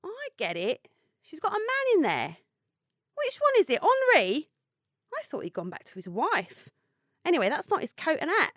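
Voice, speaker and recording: A brash, nosy woman with a high, extremely animated voice. She is blunt, teasing and pushy, recorded close and dry. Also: moderate pace, pauses often, no room sound, heavily acted. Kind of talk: audio drama dialogue.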